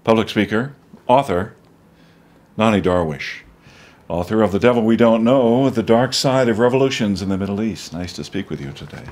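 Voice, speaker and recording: A man with a very low voice conducting an interview. He introduces the guest and asks short, formal, scripted-sounding questions. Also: expressive, normal speed, darkish tone.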